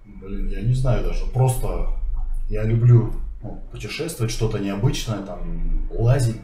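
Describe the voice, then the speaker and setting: A man's voice: on the low side, measured pace, mellow. A man hosting a travel vlog, chatting casually and telling stories while cooking in a home kitchen. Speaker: vlog host. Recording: roomy kitchen from far off, faint hiss.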